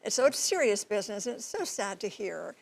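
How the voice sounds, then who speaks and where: A woman reporting as a TV news correspondent, explaining things in measured, clear phrases. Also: medium pitch, big pitch swings. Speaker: TV transportation correspondent and pilot.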